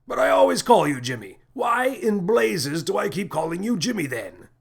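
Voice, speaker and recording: A man's voice: high-pitched, sing-song, moderate pace, creaky, voice pushed up, full character voice. A man voicing a brash, bumbling space captain with a pompous, emphatic delivery in a sci-fi audio storybook. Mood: baffled, exasperated.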